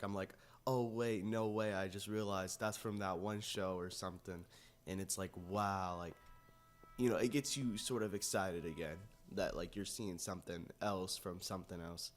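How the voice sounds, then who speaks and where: A man co-hosting a casual chat, talking in an informal, rambling way. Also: low-pitched. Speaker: podcast co-host.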